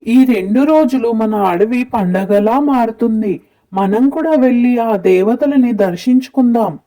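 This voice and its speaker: A woman's voice: a little high, measured pace, dark tone, close to an everyday voice. A woman voicing a wise, kindly old grandfather eagle teaching youngsters in a children's cartoon story. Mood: kindly, instructive. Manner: instructive, storytelling elder. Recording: slightly dull, muffled sound.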